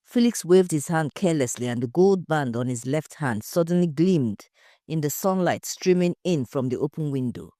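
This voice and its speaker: A woman narrating a scripted story in a measured, dramatic way. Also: low-pitched, lively intonation, warm tone, no echo, studio quality. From Nigeria.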